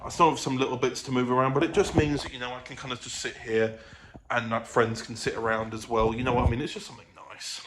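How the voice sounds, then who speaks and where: Male vlogger, medium pitch, casual and hesitant, self-correcting, roomy home sound. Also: flat delivery, fast. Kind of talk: casual rambling vlog chat. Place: living room of own apartment. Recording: echoey room with faint ambient noise.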